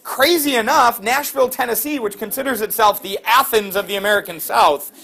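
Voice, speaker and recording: A middle-aged man teaching a class, lively and quizzing students with quick questions. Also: sits high, creaky.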